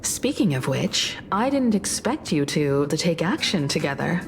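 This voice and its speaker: An actress voicing a calm, wise woman in a video game, speaking slowly and reflectively. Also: low-pitched, gravelly, noisy background, exaggerated voice. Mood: curious. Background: game music.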